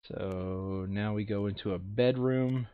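A man's voice: fairly low pitch, slow pace, clean tone, no echo, narrow, tinny audio. A man running a board game playthrough in casual, crude, joking chat, now and then reading game text aloud. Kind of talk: casual gaming chat with bits of read-aloud flavour text.

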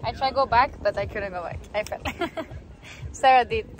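A woman's voice: a little high, moderate pace, rough recording. A young woman in her twenties chatting casually for a travel vlog, giggly and full of fillers, filmed outdoors on a handheld camera. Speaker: vlogger. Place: outdoors on a beach and dirt road.

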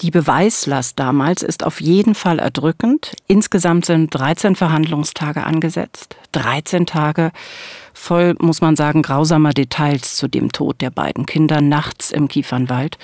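A woman's voice: low-pitched, unhurried, a little mellow, no room sound. A middle-aged woman speaks as a true-crime podcast host. Her delivery moves between scripted storytelling and conversation, recorded close and very dry in a studio. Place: small dark podcast studio. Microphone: close studio microphone with headphones.

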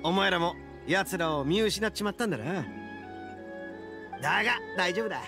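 An actor playing a brash, boastful young male oni gang boss in an anime game, with a cocky, rough swagger. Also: high voice, expressive, moderate pace, over music. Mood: confident.

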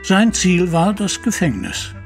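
A man's voice: sits high, very animated, measured pace, vocal fry, soft background music. A man narrating an adventure audio drama in a dramatic, articulate storytelling style.

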